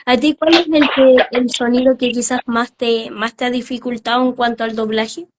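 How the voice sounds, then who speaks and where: A woman with a somewhat high voice hosts a relaxed interview, asking curious, winding questions. A faint noise sits behind her recording. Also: normal speed. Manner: curious, asks rambling questions. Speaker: interview host. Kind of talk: casual interview talk.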